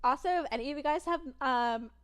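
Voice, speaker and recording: A young woman streaming and chatting casually, rambling and giggling, with a naturally soft voice that is tired from long streaming. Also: high-pitched, brisk. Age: young adult.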